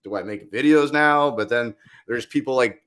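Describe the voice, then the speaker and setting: A young man with a loud voice, talking casually as a podcast guest, animated and quick in bursts. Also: medium pitch, smooth. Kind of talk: casual chat.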